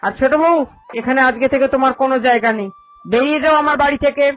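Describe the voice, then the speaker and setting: A woman's voice: high voice, fast talker, natural voice. A woman voicing a stern, cunning elderly mother-in-law in a cartoon story, with an authoritative, accusing manner. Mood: stern displeasure. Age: older. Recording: voice over background music.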